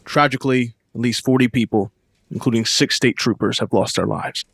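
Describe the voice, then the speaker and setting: An actor playing a solemn, shaken news anchor in a 1930s-style radio drama, formal and grave. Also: male voice, mid-range voice, a little fast, creaky, a bit bright, breathy edge, no room sound.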